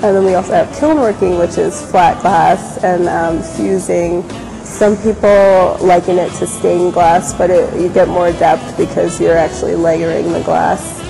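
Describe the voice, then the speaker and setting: Gravelly young woman. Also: fairly low pitch, level tone, measured pace, poor audio. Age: young adult. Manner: casual, with frequent fillers and restarts. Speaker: glass studio staff member. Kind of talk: on-camera interview about a venue. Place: large indoor gallery space. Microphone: lapel mic. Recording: music bed behind the voice.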